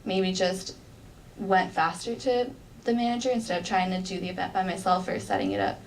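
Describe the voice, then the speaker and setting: A woman's voice: on the low side, animated, brisk. Earnest young woman heard from a distance. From United States. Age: young adult. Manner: polite, earnest, with frequent likes and ums. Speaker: college student in a mock job interview. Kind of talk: mock job interview answers. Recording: distant mic with faint background noise.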